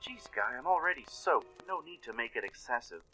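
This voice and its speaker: A man's voice: medium pitch, lively intonation, normal speed, sharp tone, soft background music, pitched above the performer's own voice, rough recording. A man voicing an anxious young filly pony who talks herself through her fears in a radio play. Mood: exasperated. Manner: stammers when scared, talks to herself.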